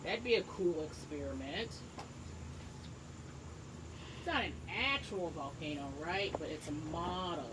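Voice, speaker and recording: A woman's voice: sits low, expressive, unhurried, long pauses. Woman teacher reading aloud, noisy recording. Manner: encouraging, addresses children directly with simple explanations. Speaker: teacher reading a book aloud to young pupils. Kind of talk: read-aloud lesson with asides and questions to children.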